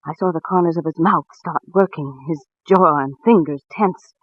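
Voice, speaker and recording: A woman's voice: deep voice, no echo, dull audio. An actress playing a nervous married woman in 1940s radio drama, frightened and hesitant, on a vintage recording. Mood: haunted, tense. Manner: hesitant, halting, repeating words.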